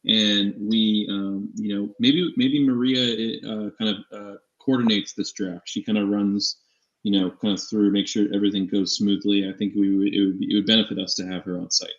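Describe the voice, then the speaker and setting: A man co-hosting a casual fantasy sports live show, talking in a halting way with repeated and restarted phrases. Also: lowish voice, steady tone. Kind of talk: casual sports talk banter. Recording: echoey room, dull lo-fi mic.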